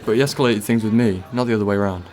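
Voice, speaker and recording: A man's voice: on the low side, steady tone, rapid-fire, darkish tone, a little hiss or hum, natural voice. A man playing a defensive, uneasy office worker under suspicion in a radio play, speaking hesitantly and guardedly. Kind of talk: student radio drama acting. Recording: cafe crowd chatter behind.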